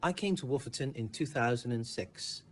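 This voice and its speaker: A man's voice: mid-range voice, expressive, brisk, music in the background. A man reading a scripted congratulatory message.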